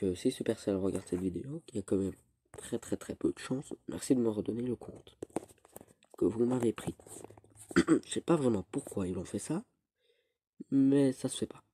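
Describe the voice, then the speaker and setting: A teenage boy with a cold, rambling through casual gameplay commentary with halting restarts. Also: lowish voice, mellow, a little hiss or hum. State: stuffy with a cold. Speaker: gaming youtuber.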